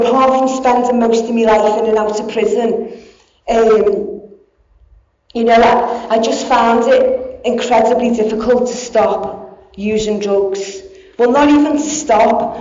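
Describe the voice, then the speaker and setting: A woman's voice: on the high side, darkish tone. A woman, giving a candid, blunt personal recovery talk with plenty of swearing. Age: 40s. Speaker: speaker in recovery. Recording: echoey dull hall sound.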